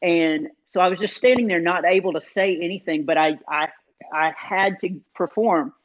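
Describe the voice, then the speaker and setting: A man, a comedian guest in an interview, telling self-deprecating stories in an animated, casual way with many fillers. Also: sits high, normal speed. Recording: dull lo-fi sound.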